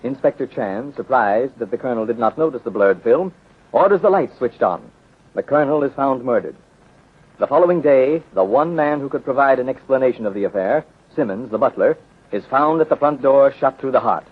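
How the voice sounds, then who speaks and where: A man's voice: medium pitch, expressive, fairly quick, husky. A man announcing and narrating a 1930s radio mystery serial in a formal, dramatic scripted style. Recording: muffled, tinny 1930s radio recording.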